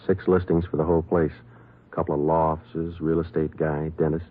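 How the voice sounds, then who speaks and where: A man playing a deadpan, businesslike police sergeant with clipped delivery in an old-time radio drama. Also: rumbling low, brisk. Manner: deadpan, clipped, matter-of-fact. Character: terse, businesslike male police detective sergeant. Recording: muffled narrowband old radio recording.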